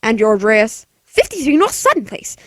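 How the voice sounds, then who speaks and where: A woman's voice: on the high side, huge pitch swings, normal speed, a bit bright, dry recording, studio quality. A woman playing an anxious, demanding bedridden wife in 1940s radio play style, with fretful, stammering, melodramatic delivery. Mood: anxious, insistent.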